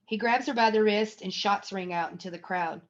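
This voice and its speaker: A woman's voice: medium pitch, moderate pace. Stage-direction narrator for a table read: female, mid-range voice, scripted reading, dull lo-fi sound.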